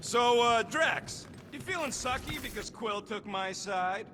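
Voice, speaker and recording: An actor voicing a small, cocky, wisecracking creature in a video game, with needling, slangy delivery. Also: male voice, extremely high pitch, lively intonation, measured pace, bright, forceful tone, heavily acted. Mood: needling, smug. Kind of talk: cinematic video game voice acting. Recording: distant, reverberant, faint noise.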